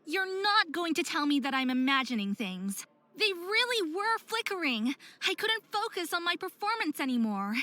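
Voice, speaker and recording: A woman's voice: very high-pitched, very animated, normal speed, bright, forceful tone, no room sound, heavily acted. A young woman voicing a hot-headed, distraught young faerie skater in a visual novel game, with a dramatic, emphatic delivery. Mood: upset. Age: young adult.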